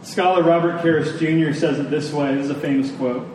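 Echoey, higher-voiced man preaching earnestly. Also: fairly even intonation, a little mellow. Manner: earnest, emphatic, repeats key phrases with deliberate pauses. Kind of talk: sermon to a congregation. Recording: very echoey room, distant mic, faint noise.

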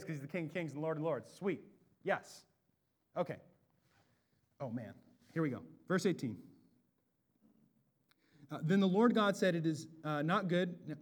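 An American man delivers an expository sermon in a slightly high voice. He swings between low, offhand asides and booming, drawn-out lines of emphasis. His voice is big, and he sounds like he has no inside voice. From Bellingham, Washington, USA. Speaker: pastor.

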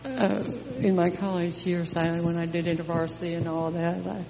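A woman's voice: lowish voice, steady tone, normal speed, vocal fry, slight background noise. Older woman sharing a heartfelt testimony. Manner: emotional, heartfelt, with soft laughs. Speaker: congregation member sharing testimony. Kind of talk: church testimony. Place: church sanctuary. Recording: echoey church hall, dull sound.

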